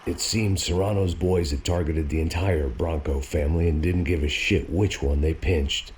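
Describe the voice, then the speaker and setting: An actor playing a weary, bitter, hard-drinking American in a hardboiled noir game voice-over, terse and sardonic. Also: male voice, very deep voice, fairly even intonation, normal speed, noisy background. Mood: brooding. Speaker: narrating protagonist.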